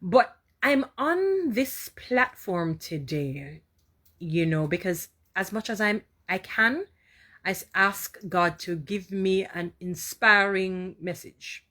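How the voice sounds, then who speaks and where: A Jamaican woman hosting a casual inspirational live talk, chatting warmly, repeating herself and answering viewer comments. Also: medium pitch, expressive, takes it slowly. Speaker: live-stream host.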